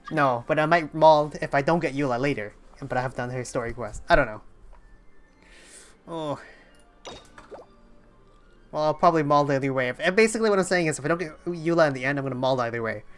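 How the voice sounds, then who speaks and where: A woman's voice: low-pitched, a little fast, pauses often. A young woman livestreaming a game, chatting casually and thinking aloud with some hesitation. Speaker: game streamer. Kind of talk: casual livestream chat while playing. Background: game music.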